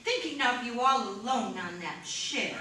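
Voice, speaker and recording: Lady doing a sweet fussy old mom in radio sci-fi, very lively pitch, far from mic, music behind. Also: measured pace, slight background noise. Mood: solicitous. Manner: homely, solicitous, chatty. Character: doting, fussing older mother of grown navy sons. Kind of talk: old-time radio sci-fi drama acting. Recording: echoey, distant, loud music behind.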